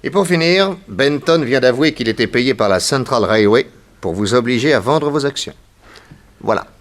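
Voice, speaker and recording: An actor playing a confident, authoritative detective hero in a theatrical 1960s French radio serial. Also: male voice, medium pitch, lively intonation, normal speed, natural voice. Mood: assured, explanatory. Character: confident, commanding male private detective hero.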